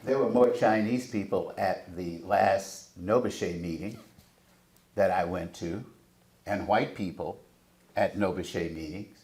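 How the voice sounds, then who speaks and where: Animated man in an echoey room. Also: deep voice, takes it slowly. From Southern United States. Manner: animated, emphatic, preacher-like build-ups. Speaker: panelist. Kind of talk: panel discussion.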